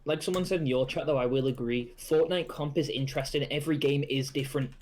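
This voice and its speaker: A man's voice: a little high, quick pace, darkish tone. A young man from Liverpool with a Scouse accent, co-hosting a gaming podcast in a laid-back way with casual swearing. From Liverpool, England. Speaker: podcast co-host.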